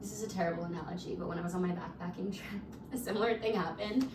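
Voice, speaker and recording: A woman's voice: lowish voice, fairly even intonation, very fast, roomy sound, distant mic, background noise. A woman in her twenties, a guest chatting casually, with lots of "like" and frequent laughs. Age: 20s. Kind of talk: casual chat.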